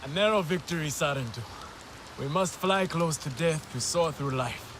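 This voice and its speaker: An actor playing a proud young alien warrior in a video game, speaking in stoic, declamatory lines. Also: male voice, fairly high pitch, moderate pace, fairly bright tone, a little hiss or hum, natural voice. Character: bold, proud young male alien sky rider. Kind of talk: video game character acting.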